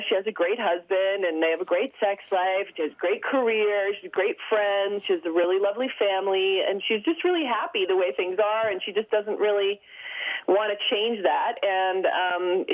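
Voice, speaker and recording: A woman calls into a radio show as a guest, chatty and relaxed. She fills pauses with ums and likes, and laughs easily. Her voice comes through a narrow, tinny telephone line. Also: mid-range voice. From United States. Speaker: guest actress. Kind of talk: phone-in radio interview.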